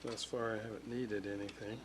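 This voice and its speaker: A man narrates hands-on restoration work casually, in short unhurried phrases muttered as he goes. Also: lowish voice, little pitch movement. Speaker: radio restorer hosting a repair video. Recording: clearly noisy workshop recording with clicks.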